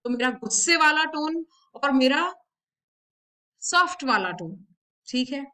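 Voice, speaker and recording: A woman with an Indian accent speaks as a coach addressing her viewers. Her voice sits high and has a bright, forward edge. She is emphatic and encouraging, restating key advice for stress. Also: lively intonation, unhurried. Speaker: body language and communication coach. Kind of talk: instructional talk to viewers, mixing Hindi and English.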